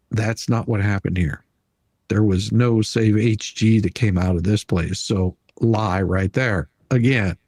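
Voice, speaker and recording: Husky, indignant male commentator. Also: medium pitch, a little mellow, no echo, clean studio sound. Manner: indignant, emphatic, argumentative. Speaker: live-stream host. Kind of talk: opinionated commentary, reading a document aloud.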